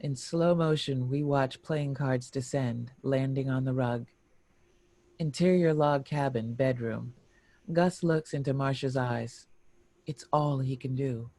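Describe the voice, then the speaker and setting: A man reading scripted screenplay stage directions in a measured, descriptive narration. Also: medium pitch, mellow, dry recording.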